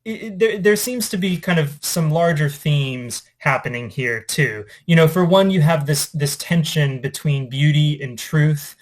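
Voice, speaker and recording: A man speaking as an English teacher, informally reading and discussing poetry in a reflective, conversational, somewhat halting way. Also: fairly high pitch. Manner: reflective, conversational, hesitant with trailing asides.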